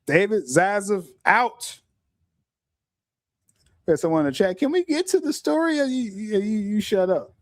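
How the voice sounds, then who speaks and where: A middle-aged man hosting a casual podcast livestream, talking in an animated way, stumbling over repeated words and often laughing. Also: sits high, a little slow, pauses often, dry recording, clean studio sound. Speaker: podcast host.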